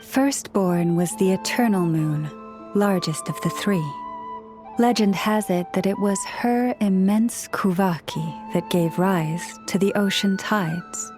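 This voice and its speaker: A woman playing a serene, modest young priestess in a video game, speaking in a measured, solemn, formal way. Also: mid-range voice, close to an everyday voice. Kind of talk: video game storytelling dialogue.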